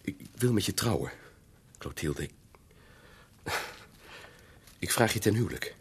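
Awkward lovestruck young guy in a radio play, stammery, really husky low voice. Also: expressive, full character voice. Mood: nervous, earnest. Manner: stammering, halting. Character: nervous, smitten young gentleman suitor, earnest and awkward. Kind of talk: Dutch radio drama acting.

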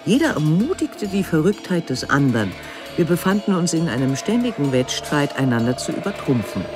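Measured, reflective female artist, music behind. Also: deep voice, very animated. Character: female artist reflecting on love, art and death. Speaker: actress reading an artist's memoirs. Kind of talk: scripted first-person narration.

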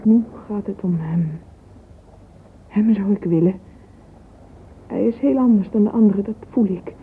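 A woman's voice: medium pitch, measured pace, lightly acted. An actress playing a dreamy, yearning, romantic young woman in a radio drama monologue. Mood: dreamy longing. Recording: thin phone-like old radio recording, noisy.

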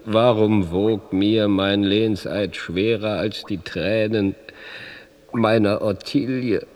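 Proud, aggrieved knight, deliberate delivery. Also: male voice, fairly low pitch, fairly even intonation, darkish tone, dry recording. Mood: anguished, desperate. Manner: declamatory, aggrieved, dramatic. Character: proud despairing captive medieval knight, easily indignant. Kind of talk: theatrical rhyming radio play.

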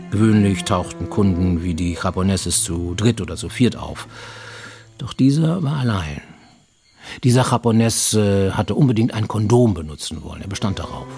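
An actor playing a paranoid, self-justifying fugitive who works as an illicit medic, narrating formally and deliberately in a radio play monologue. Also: male voice, low voice, creaky. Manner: formal, deliberate, insistently explaining himself to a listener.

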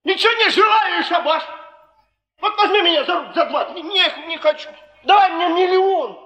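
An actor playing a defiant, clowning young drunkard in a stage drama, boastful and shouting. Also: male voice, very high-pitched, expressive, moderate pace, dull audio, exaggerated voice. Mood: drunken defiance. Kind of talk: theatrical stage acting. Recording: echoey theatre stage recording.

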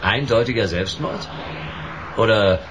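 An actor playing a laconic, sardonic private detective in a German radio drama, dry and deadpan in narration and questioning. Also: male voice, fairly low pitch, measured pace, lo-fi sound, background noise, natural voice. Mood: probing.

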